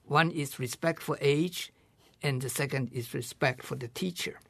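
Older man with a gravelly, husky voice. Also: medium pitch, fairly bright tone, no echo. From China. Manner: measured and thoughtful, pausing to rephrase. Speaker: guest scholar. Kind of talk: reflective interview answers.

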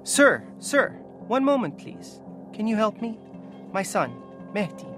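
A man's voice: high voice, big pitch swings, a little slow, a bit bright, faint music behind, pitched above the performer's own voice, full character voice. A man reading aloud as a desperate, imploring mother searching for her missing son, in an urgent manner. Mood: anxious pleading.

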